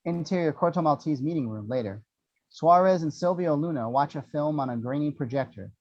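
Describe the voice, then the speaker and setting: A man's voice: on the high side, expressive, a little fast, darkish tone, muffled recording. A man narrating the action descriptions of a screenplay at a table read, in a scripted storytelling style.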